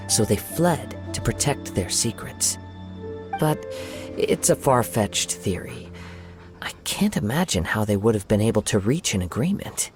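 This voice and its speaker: An actor playing a calm, thoughtful young swordsman in a video game, speaking in a measured, reflective and polite way. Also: lowish voice, natural voice. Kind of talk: video game character voice acting.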